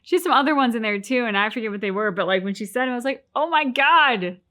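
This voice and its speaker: A woman's voice: a little high, animated, fast talker, sharp tone. A woman co-hosting a reaction show with casual, wryly joking commentary.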